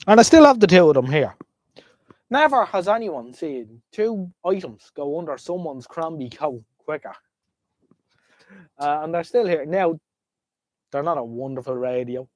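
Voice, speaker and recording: A man's voice: fairly high pitch, darkish tone, no room sound. An Irish man with a slight head cold, sniffling as he chats casually and rambles to viewers while hosting a live stream. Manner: chatty, rambling, talks to viewers. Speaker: livestream host. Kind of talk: casual livestream chat. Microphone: desk microphone.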